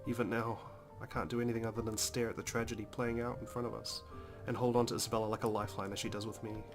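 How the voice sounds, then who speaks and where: A man's voice: on the low side, little pitch movement, fast talker. A man hosting a let's play, reading visual novel narration aloud dramatically with casual, emotionally involved comments. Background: game music.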